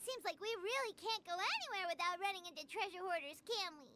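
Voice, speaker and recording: A woman voicing a tiny, excitable, childlike fairy companion in a video game. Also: very high voice, moderate pace, background noise. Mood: curious. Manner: talks in third person, excitable.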